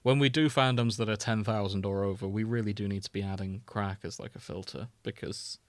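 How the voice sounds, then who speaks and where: A man's voice: lowish voice, fairly even intonation, fairly quick, no room sound. A man hosting a podcast in a casual, conversational style. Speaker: podcast host. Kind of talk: casual chat.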